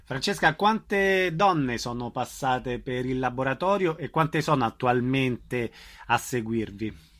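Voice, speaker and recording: A man's voice: mid-range voice, lively intonation, slow, fairly bright tone. A man conducting a conversational radio interview as the interviewer.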